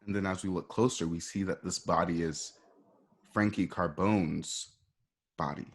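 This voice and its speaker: A man narrating a radio play, reading out scene directions in a conversational way with a husky voice. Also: low voice, fairly even intonation, measured pace.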